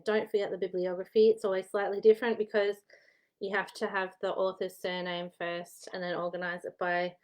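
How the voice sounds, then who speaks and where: A woman's voice: medium pitch, a little mellow. Chatty Australian woman vlogging from home. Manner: chatty, rambling, laughs at herself. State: slightly losing her voice. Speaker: historian giving study tips. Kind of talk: casual chatty vlog advice.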